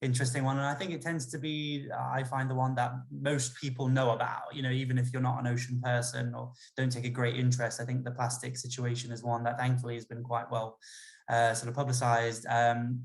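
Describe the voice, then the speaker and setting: A man speaking for an ocean campaign group, measured and full of fillers. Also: medium pitch, flat delivery. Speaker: campaign spokesperson. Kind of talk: casual livestream talk.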